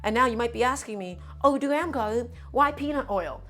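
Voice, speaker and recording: A woman's voice: a little high, expressive, normal speed, a bit bright, faint music behind. A woman whose first language is Russian, hosting a live cooking stream from her home kitchen. She explains each step in a chatty, teaching way. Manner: chatty, instructive, often says "my friends".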